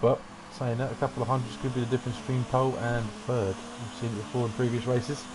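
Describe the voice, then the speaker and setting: A man giving relaxed, rambling commentary on an online racing qualifying session. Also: mid-range voice, fast, background noise. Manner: relaxed, rambling, self-deprecating asides. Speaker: race commentator.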